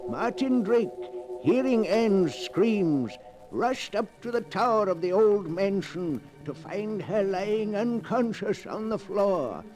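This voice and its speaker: Slow, high-pitched horror storyteller. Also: male voice, lively intonation, over music, poor audio. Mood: ominous. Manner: dramatic, deliberate. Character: solemn storyteller of a horror tale. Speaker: narrator. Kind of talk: old-time radio horror narration.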